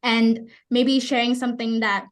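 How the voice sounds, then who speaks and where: A woman's voice: fairly high pitch, little pitch movement, moderate pace. A woman hosting a podcast, asking questions eagerly and restarting her sentences often. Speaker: podcast host. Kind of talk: casual interview chat.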